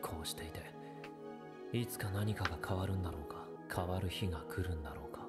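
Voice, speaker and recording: Male actor as bitter, subdued young anime narrator, low-pitched, rapid delivery, distant playback with loud music. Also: close to an everyday voice. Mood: brooding, weary. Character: weary, bitter young man narrating his memories. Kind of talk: scripted anime monologue. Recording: played from a show, distant, under music.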